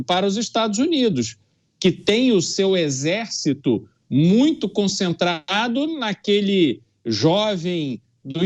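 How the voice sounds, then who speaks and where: A Brazilian man with a notably high voice works as a political commentator. He lays out his opinions in an emphatic, deliberate way, as on a news debate show.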